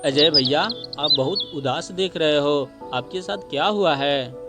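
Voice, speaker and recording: A humble, hard-working younger brother from a cartoon moral tale, acted by a man. His voice sits fairly high and sounds earnest and polite. Music is clearly audible behind it. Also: unhurried. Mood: concerned. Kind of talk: animated moral story dialogue.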